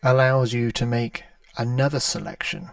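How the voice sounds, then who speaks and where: A man presenting an online radio livestream in a measured way, walking listeners through a demonstration. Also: medium pitch, steady tone, creaky, far from the mic. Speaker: online radio station host. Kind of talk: calm livestream presentation with website demo. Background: ambient music.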